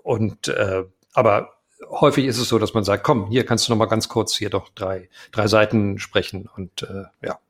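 A man's voice: lowish voice, steady tone, normal speed. A man in his fifties, a voice actor as an interview guest, answering at length with many hesitations and fillers.

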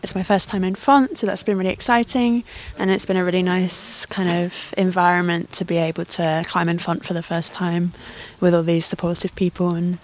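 A woman's voice: lowish voice, fairly even intonation, fairly quick, low-quality recording. A woman from Bristol answering an interview casually. She speaks thoughtfully and hedges her points as she goes. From Bristol, England. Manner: thoughtful, hedging with "kind of". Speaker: festival participant.

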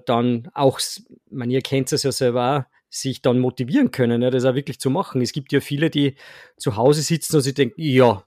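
Male podcast guest, mid-pitched, halting and self-mocking, dry close recording. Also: a little mellow, no echo. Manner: hesitant, many fillers and restarts, self-deprecating humor. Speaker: podcast guest, amateur cyclist. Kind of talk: casual podcast chat.

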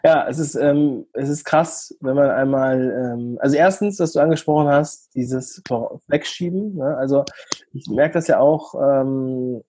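A man's voice: mid-range voice, unhurried, darkish tone, slightly rough, no room sound. A man with a cold hosting a casual interview, talking like a motivational coach with casual slang. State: has a cold. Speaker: host, mastermind coach.

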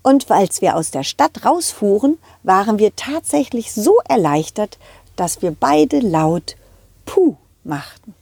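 A woman's voice: mid-range voice, sing-song, takes it slowly, creaky, darkish tone, breathy edge, dry recording. A woman puppeteer telling a children's story in character, with childlike enthusiasm, speaking straight to the children. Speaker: puppeteer storyteller voicing a dog hero.

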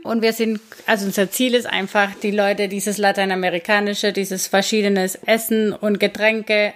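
A woman in her thirties from Guatemala speaks German with a Spanish accent in a casual interview. Her voice sits at an ordinary middle pitch. She searches for words and hesitates often, and she makes small slips of grammar. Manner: frequent hesitations, non-native grammar. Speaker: bar owner, guest.

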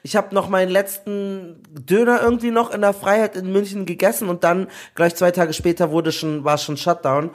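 A man from Nigeria, a guest telling lively stories in casual chat, laughing often as he talks. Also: high voice, moderate pace, hardly any pauses.

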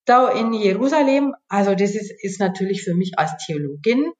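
Woman chatting informally in an interview, mid-pitched voice, roomy echo. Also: expressive, moderate pace, darkish tone. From Bavaria, Germany. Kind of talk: casual conversational interview. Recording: echoey room.

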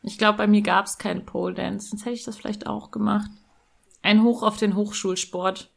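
Gravelly-voiced teasing podcast host woman. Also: medium pitch, fairly even intonation, moderate pace. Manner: chatty, teasing. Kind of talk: casual podcast interview.

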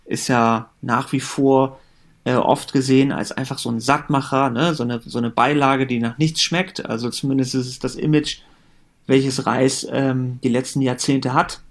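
Man, late 30s, from Germany; relaxed, rambling podcast guest. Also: medium pitch, moderate pace. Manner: relaxed, chatty, many fillers and restarts. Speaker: company co-founder and managing director. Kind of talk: casual podcast interview.

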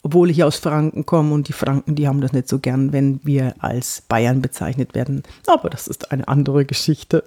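A woman from Franconia with a light Bavarian lilt, an actress and body-language coach giving a chatty podcast lesson on clear speech and slowly guiding mouth exercises. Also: rumbling low, steady tone, mellow. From Franconia, Germany. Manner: guides exercises slowly and deliberately; chatty and personal.

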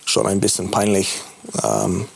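A man's voice: low voice, big pitch swings, a little slow, gravelly, slightly rough. A man with a foreign accent, German not his first language, a football coach answering candidly and self-critically in a studio interview, with many hesitations.

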